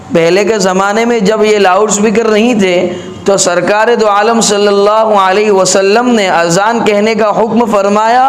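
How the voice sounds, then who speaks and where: An Indian male cleric delivers a sermon in a notably high voice. He goes very slowly and deliberately, teaching point by point in formal phrasing. The sound is clearly echoey and reverberant. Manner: measured, didactic, repeats points in formal phrasing. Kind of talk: sermon, explanatory religious address.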